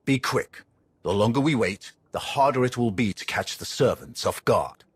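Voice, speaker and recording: Stern, raspy warrior with swooping pitch. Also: male voice, expressive, normal speed, no echo. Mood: impatient. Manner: formal, curt. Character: stern, impatient male warrior, formal and commanding. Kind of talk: video game cutscene acting.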